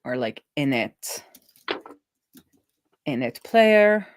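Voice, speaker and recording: A woman's voice: extremely low pitch, sing-song, dry recording. A woman developer casually thinking aloud as she codes, often breaking off mid-thought and correcting herself. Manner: halting, trailing off mid-thought and self-correcting. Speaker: developer hosting a live coding stream.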